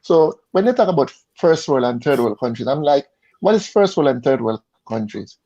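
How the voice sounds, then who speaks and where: A man's voice: medium pitch, very animated, moderate pace, mellow. A man with a Jamaican accent talking casually as an interview guest, often repeating words as he searches for them. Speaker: interview guest, insurance advisor. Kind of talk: casual conversational interview answers. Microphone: online call. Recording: dull lo-fi online call audio.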